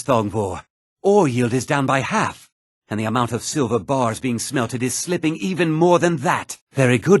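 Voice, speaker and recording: An actor playing a haughty, put-upon fantasy townsman in a video game, husky, theatrical and often sarcastic. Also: lowish voice, dry recording, clean studio sound. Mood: formal, deferential. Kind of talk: video game character dialogue.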